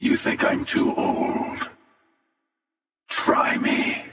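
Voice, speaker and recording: An actor playing a cynical, menacing older male hunter in a video game, with a gravelly rasp, a sardonic taunting manner and an echoing effect on the voice. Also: very slow, fairly bright tone. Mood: wry, challenging. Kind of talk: video game character dialogue. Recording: echoing long reverb, distant sound.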